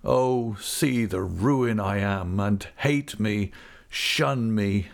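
A man voicing a distraught, self-loathing older gentleman in a story, impassioned and lamenting, with drawn-out words. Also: on the low side, creaky, full character voice. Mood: anguished, self-loathing.

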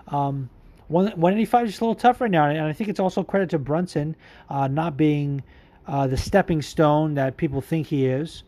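A man's voice: a little high, mellow. Profane, rambling man talking fight sport. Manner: candid, profane, rambling with fillers. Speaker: podcast host. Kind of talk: casual MMA commentary, answering listener questions.

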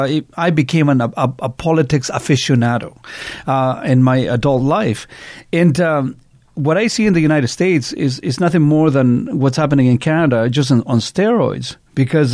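A man's voice: medium pitch, a little mellow, no room sound, studio quality. A middle-aged man from Chile hosting a talk radio show, joking and teasing his co-hosts, then interviewing a guest. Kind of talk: casual radio banter and interviewing.

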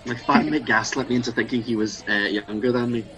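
A young man in his twenties who grew up in Scotland chats as a guest with a bright, forceful voice. He talks in a playful, self-mocking way, laughing often at his own jokes. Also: medium pitch, fairly even intonation, brisk, low-quality recording. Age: 20s. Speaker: drag performer guest. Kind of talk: casual comedic chat.

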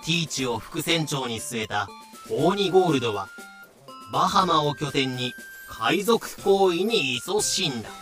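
A man's voice: a little high, lively intonation, a little slow, a bit bright, soft background music. A man narrating an audio drama from a script in a dramatic storytelling style.

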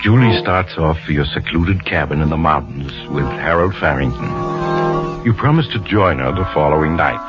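Deep, sinister radio narrator. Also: male voice. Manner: dramatic, insinuating, deliberate emphasis. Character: sinister, knowing male storyteller addressing the culprit. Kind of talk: old-time radio suspense narration. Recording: muffled old radio recording. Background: loud dramatic music.

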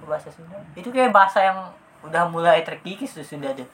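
A woman's voice: lowish voice, animated, quick pace, fairly bright tone, a little hiss or hum. A young woman podcast co-host, blunt and teasing, swearing often in casual banter.

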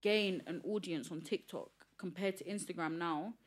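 A young woman from East London with a deep, husky voice and an East London accent, talking frankly as a podcast guest and swearing casually. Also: normal speed. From East London, England. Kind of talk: casual chat.

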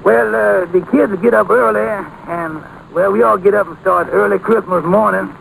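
An actor playing a loving, patient, middle-aged father in an old-time radio drama, speaking in a gentle, folksy, unhurried way. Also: high-pitched, steady tone, creaky, full character voice. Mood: warm, friendly. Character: loving, patient father explaining prayer to young daughter. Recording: very noisy old radio broadcast recording.

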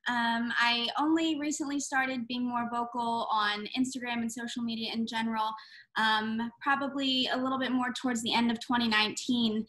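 A woman's voice: on the high side, steady tone, normal speed, fairly bright tone. A woman in her twenties, a singer-songwriter guest, chatting eagerly with fillers and restarts. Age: 20s. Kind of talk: casual interview.

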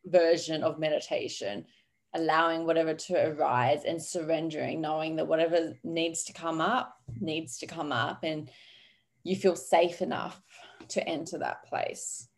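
A woman hosting a podcast interview, affirming her guest and guiding the conversation with gentle prompts. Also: deep voice, steady tone, unhurried. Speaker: podcast host.

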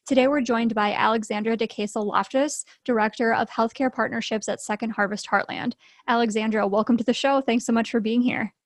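A woman's voice: fairly high pitch, fairly even intonation, a little fast, no echo, clean studio sound. A woman hosting an interview podcast, friendly and affirming with her guest. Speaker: podcast host.

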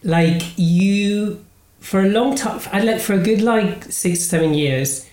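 Halting British-accented male podcast host. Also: high-pitched, a little mellow, reverberant, poor audio. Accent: British English. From Spain. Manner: halting, self-deprecating, full of restarts. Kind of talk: casual podcast interview.

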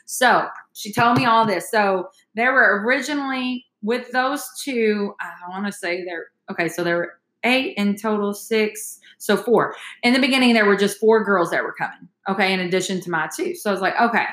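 Chatty woman with a bright voice. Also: medium pitch, moderate pace, hardly any pauses, reverberant, rough recording. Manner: chatty, addresses listeners as y'all, animated. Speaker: podcast host. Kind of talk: casual storytelling chat.

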